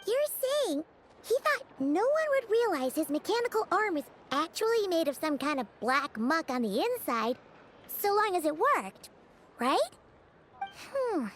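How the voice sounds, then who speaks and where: A woman's voice: extremely high pitch, sing-song, a little slow, slight background noise, heavily acted. A woman voicing a small, curious, plain-spoken companion in a video game, with a casual, questioning manner. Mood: skeptical, musing.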